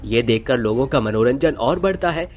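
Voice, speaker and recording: A man narrating a scripted fantasy story in an expressive storytelling manner. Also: medium pitch, moderate pace, slight background noise. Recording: music under the voice. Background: music.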